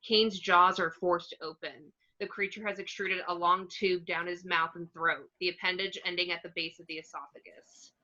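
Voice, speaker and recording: A woman's voice: on the low side, fairly even intonation, normal speed, few pauses, bright, forceful tone, lo-fi sound. A woman reading screenplay stage directions aloud as scripted narration.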